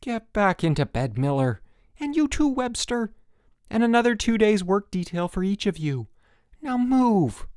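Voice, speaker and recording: A man voicing a hot-tempered young male camp counselor who shouts his orders, in a dry, close recording. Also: high voice, very animated, a little slow, clean tone, no room sound, pitched above the performer's own voice. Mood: stern. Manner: shouting, bellowing.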